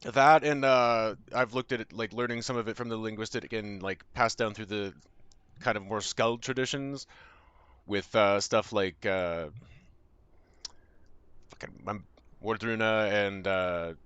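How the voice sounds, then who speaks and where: Laid-back Canadian guy, low voice. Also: steady tone, a little slow, long pauses, a bit bright, clean tone, no echo, lo-fi sound. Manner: rambling, loose, swears casually, many fillers and restarts. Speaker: streamer. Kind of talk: casual chat with viewers while gaming.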